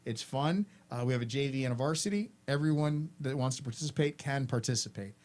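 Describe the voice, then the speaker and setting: A man's voice: medium pitch, quick pace, darkish tone. Friendly man giving school announcements. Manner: friendly, instructive, repeats points. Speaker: school administrator hosting afternoon announcements.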